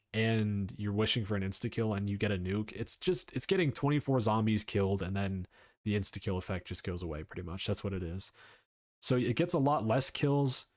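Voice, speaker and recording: A young man talks casually on a live stream, rambling through his points, answering chat and dropping the odd swear. His voice sits on the low side. The sound is dry and close, with no room around it. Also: dry recording.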